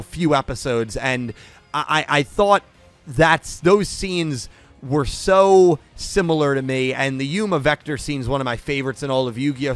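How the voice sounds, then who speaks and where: A man's voice: on the high side, a bit bright. A young man with a head cold, hosting an anime episode review in an enthusiastic, rambling way. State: congested from a cold. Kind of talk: casual solo video review.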